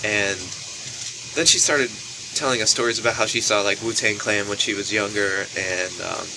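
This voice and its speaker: A young man telling a casual anecdote to camera in an animated way, acting out what people said, recorded on a poor camera microphone. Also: normal speed. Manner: animated retelling, acts out quoted speech. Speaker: storytelling vlogger. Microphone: built-in camera microphone. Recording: noisy low-quality camera microphone.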